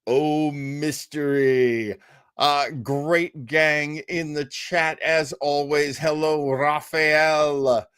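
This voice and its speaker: A man's voice: on the high side, flat delivery, very slow, fairly bright tone, smooth, no room sound, studio quality. A middle-aged man hosting a live show, chatting casually and playfully with his viewers in a jokey, easygoing way. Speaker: host of a live old-time radio listening show.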